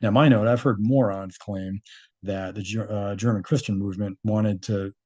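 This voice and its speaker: Low, mellow-voiced man reading and commenting. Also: steady tone, normal speed. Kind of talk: reading a document aloud with off-the-cuff commentary.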